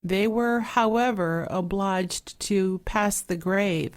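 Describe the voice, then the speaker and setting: A soft-spoken woman narrating a fairy tale in a measured, relaxing way, with an 8D surround panning effect. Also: medium pitch. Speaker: storyteller.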